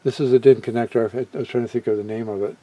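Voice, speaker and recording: A man presenting a hobby video in a casual, unscripted way, halting as he muses aloud and often trailing off mid-thought. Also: medium pitch, steady tone, dark tone, dry recording. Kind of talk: casual unscripted product walkthrough.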